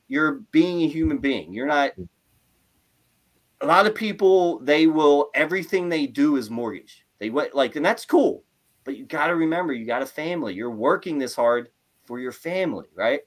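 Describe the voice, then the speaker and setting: A man hosting a casual interview chat, talking in an animated, rambling way with lots of "like" and "right?". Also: a little high, normal speed. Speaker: podcast host.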